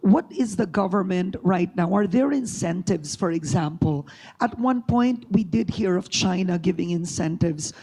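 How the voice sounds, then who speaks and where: A woman's voice: fairly low pitch, a little mellow, husky. A woman moderating a panel forum before an audience, addressing the room directly.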